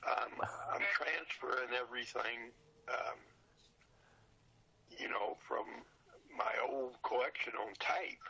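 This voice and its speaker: An older retired man with a raspy, husky voice chatting casually as an interview guest, plain-spoken and repeating phrases for emphasis. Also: medium pitch, takes it slowly, pauses often, sharp tone, highs cut off, a little hiss or hum. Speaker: podcast guest, seller of old radio show recordings.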